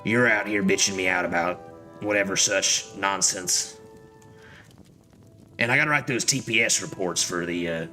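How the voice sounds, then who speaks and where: A man's voice: deep voice, normal speed, long pauses, bright, forceful tone, faint music behind, poor audio. A man giving casual, sweary, irreverent commentary while streaming a video game. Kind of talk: casual live gaming commentary.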